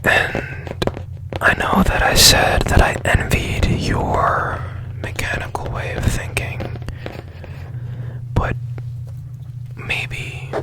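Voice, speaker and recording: A strange man of secrets who mends broken machines, acted by a man, whispering close to the mic in an ASMR roleplay. He speaks extremely slowly, with hushed, breathy phrases that linger and halt. His manner is tender and intensely curious. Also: low-quality recording. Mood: curious, musing.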